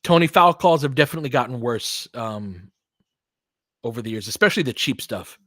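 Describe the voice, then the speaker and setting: A man's voice: medium pitch, animated, brisk, a bit bright, no echo, clean studio sound. A man doing casual live basketball play-by-play in clipped phrases, with conversational analytical asides. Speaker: play-by-play commentator and host.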